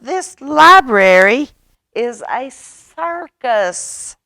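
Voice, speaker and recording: A woman's voice: high voice, very animated, very slow, sharp tone, dry recording, studio quality, voice pushed up, exaggerated voice. A woman voicing a polite but increasingly fed-up young boy in a children's storybook. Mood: loud exasperation. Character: exasperated young boy complaining in a noisy library.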